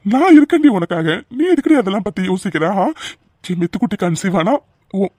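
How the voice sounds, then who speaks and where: A man's voice: sits high, darkish tone, no echo, studio quality. A young man voicing a doting, protective husband who coaxes and pleads affectionately. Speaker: voice actor. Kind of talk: audio drama acting.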